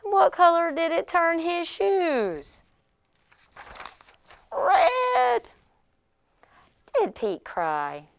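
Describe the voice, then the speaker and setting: Woman, pre-K teacher, very lively intonation, unhurried pace, expressive read-aloud delivery for young children. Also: very high voice, creaky, a little hiss or hum.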